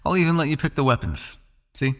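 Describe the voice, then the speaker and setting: A man playing a possessive, teasing vampire captor in an intimate ASMR roleplay, coaxing the listener with a creaky voice. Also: on the high side, big pitch swings, fairly bright tone. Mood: confident, challenging. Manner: coaxing, teasing, with pauses, sighs and murmured asides.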